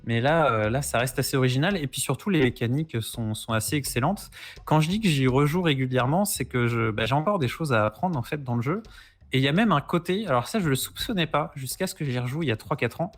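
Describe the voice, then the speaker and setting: A man's voice: mid-range voice, expressive, fast. A man chatting casually as a podcast guest, explaining retro games with keen interest. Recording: music playing behind the voice.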